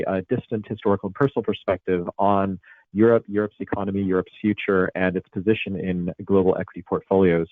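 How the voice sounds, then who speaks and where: A man's voice: on the low side, no room sound. A man with an American accent presenting a finance webinar over a video call, with frequent fillers and restarts. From Italy. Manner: many fillers and restarts, conversational lecturing. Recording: tinny phone-like line, dry.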